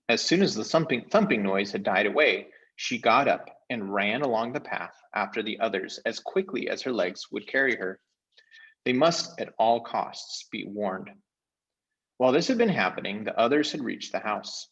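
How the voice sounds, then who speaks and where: Expressive male storyteller, lively pitch swings. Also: normal speed, breathy edge, dull audio. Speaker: read-aloud storyteller.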